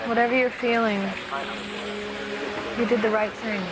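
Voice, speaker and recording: An actress playing a gentle, consoling woman in a scripted television drama, speaking in a measured, reassuring way. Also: a little high, little pitch movement, heavy background noise, lightly acted. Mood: consoling, sober. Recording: TV clip under loud music.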